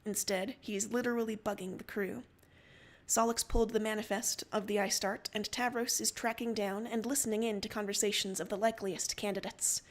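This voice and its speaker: A woman reads fiction aloud as a storyteller, at a middle pitch. She narrates in the second person with dramatic intent, as on a story livestream. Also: fairly even intonation, brisk.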